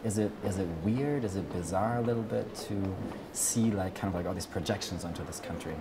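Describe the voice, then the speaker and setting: Man, interviewer, low voice, filler-heavy questions, heavy background noise. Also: brisk. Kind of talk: casual festival interview.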